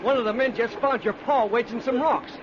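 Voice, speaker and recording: A man's voice: very high voice, fairly quick. An actor playing an impatient, disbelieving young country man with scolding rural speech, in vintage radio drama style. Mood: dismissive. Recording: muffled, noisy old radio recording.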